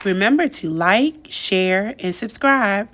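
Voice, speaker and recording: A woman reading a children's picture book aloud, gentle and expressive, lifting her voice on key words. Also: mid-range voice, slow pace, some chatter behind. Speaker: storybook reader. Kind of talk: children's read-aloud narration.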